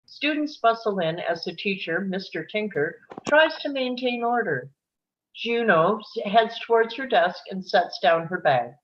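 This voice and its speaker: A woman's voice: mid-range voice, animated, measured pace, dull audio. A woman reading stage directions as the narrator of a live screenplay table read.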